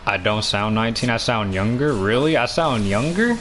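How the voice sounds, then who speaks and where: A nineteen-year-old man with a deep voice, chatting casually while streaming a game, full of fillers and restarts. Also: expressive, moderate pace, fairly bright tone, a little hiss or hum. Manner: rambling, many fillers and restarts. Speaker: gaming streamer.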